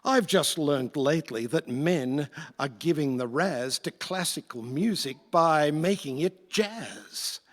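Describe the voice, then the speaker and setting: A man's voice: a little high, animated, slow, few pauses. A man playing a pompous, theatrical Roman emperor and music snob, plotting in rhyme in a radio play. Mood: pompous, scheming. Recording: echoey hall, live stage.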